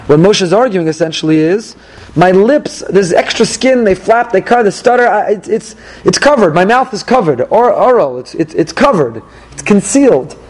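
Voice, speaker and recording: A rabbi leading a Torah class, animated and questioning his listeners as he goes. His voice sits high for a man, and he repeats key phrases to drive them home. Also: rough recording.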